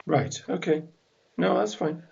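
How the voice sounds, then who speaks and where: A man's voice: a little high, unhurried, gravelly, darkish tone. A man playing a tabletop game, joking wryly with the others. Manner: wry, joking. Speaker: player. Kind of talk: casual chat during a tabletop game. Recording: lo-fi with faint noise.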